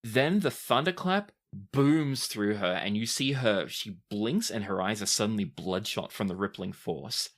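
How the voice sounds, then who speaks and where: A game master giving measured, vivid scene descriptions mixed with casual rulings for a tabletop game. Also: female voice, rumbling low.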